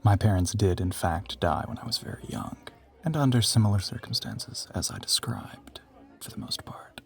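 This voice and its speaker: A man's voice: lively intonation, normal speed. A man playing an ancient, suave male vampire in an intimate audio roleplay, teasing and smug, with formal, theatrical phrasing. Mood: dark, quiet. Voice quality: low, breathy half-whisper.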